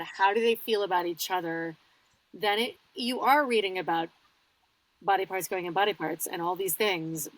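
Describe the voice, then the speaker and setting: A woman's voice: medium pitch, low-quality recording. A North American woman chatting casually as a podcast guest and audiobook narrator, telling stories with little acted-out asides.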